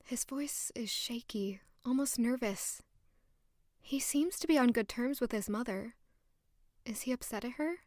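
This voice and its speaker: A woman acts as a young noblewoman at a royal court in an audio drama. Her voice is high and recorded very dry, and she speaks with courteous, gentle concern. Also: measured pace, no room sound, lightly acted. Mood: polite, observant.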